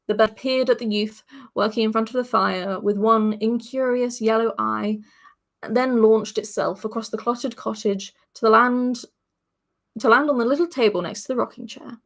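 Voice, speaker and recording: A woman podcast host reading a story aloud in a chatty manner. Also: on the high side. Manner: chatty, laughs between passages.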